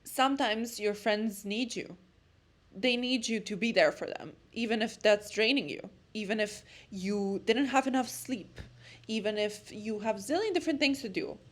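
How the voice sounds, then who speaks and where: A woman's voice: medium pitch, moderate pace. A young woman with a Serbian accent, vlogging to camera in a blunt, impassioned monologue about friendship. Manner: impassioned, blunt, repeats words when emphatic. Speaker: lifestyle vlogger.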